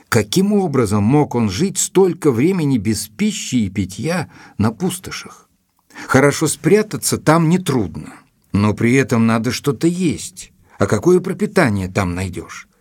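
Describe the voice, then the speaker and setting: An actor playing an earnest, educated gentleman who narrates his letters in a measured, expressive way for an audio drama. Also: medium pitch, slightly rough, no room sound. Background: music.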